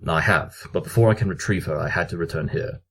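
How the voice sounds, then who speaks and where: Brilliant cartoon-pony detective, male actor: deep, formal, dramatic, excitable, built for audio drama exposition. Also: breathy edge, exaggerated voice. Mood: assured, explaining. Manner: theatrical, formal, deliberate exposition. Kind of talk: scripted audio drama acting.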